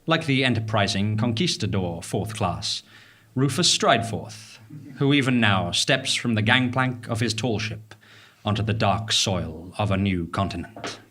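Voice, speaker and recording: A man playing a solemn, grandiose storyteller, giving scripted dramatic narration in an ominous, deliberate way. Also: on the low side, animated, fairly bright tone, slight background noise. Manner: portentous, deliberate. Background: music.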